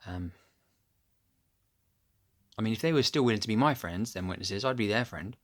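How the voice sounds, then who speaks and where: A man with a deep voice chatting casually to his phone camera at home, rambling and losing his thread with plenty of ums. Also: expressive, normal speed, a bit bright. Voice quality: low, deep voice. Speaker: vlogger. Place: at home, upstairs room. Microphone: phone camera held close.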